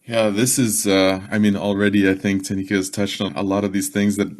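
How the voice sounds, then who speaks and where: Guy on a podcast panel, really low voice, thinking things through out loud. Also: flat delivery, a little fast. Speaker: podcast guest, youth education worker. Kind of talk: reflective panel discussion.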